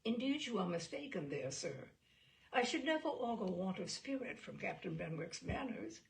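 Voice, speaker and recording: A woman voicing a polite, gentle young gentlewoman in an audiobook, speaking in a measured, courteous and reserved way. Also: mid-range voice, very animated, gravelly. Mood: gently firm. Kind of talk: audiobook character dialogue.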